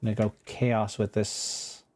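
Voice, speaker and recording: A video game host commenting casually as they play. The voice sits at a middle pitch and sounds conversational and unscripted. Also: level tone, unhurried, a little mellow.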